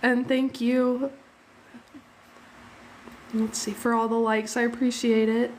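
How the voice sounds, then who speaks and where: A young woman hosts a casual drawing stream from home, sounding slightly sniffly after a cold. Her voice sits a little higher than average, and she chats easily with viewers, with quick thanks and frequent laughs. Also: little pitch movement, a little hiss or hum. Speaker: art livestream host. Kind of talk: casual live drawing chat.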